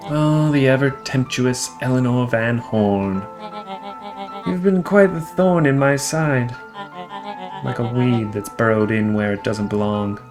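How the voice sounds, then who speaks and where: A man's voice: mid-range voice, a little slow, music in the background, close to an everyday voice. A man voicing a commanding game villain, formal, mocking and coolly menacing.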